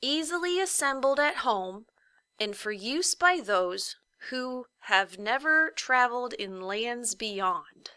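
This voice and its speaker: A woman voicing a formal printed notice in a whimsical storybook, official and measured, drawing out key words. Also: on the high side, expressive, sharp tone, clean tone, no room sound, exaggerated voice. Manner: official, measured, drawn-out emphasis.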